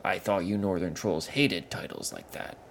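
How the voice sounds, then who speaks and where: Here is a huge male troll king, cheerful and tough, tossing out loud, wry remarks, as a man voicing him in a story. His tone is deep and gravelly. A steady hiss runs under the recording. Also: moderate pace.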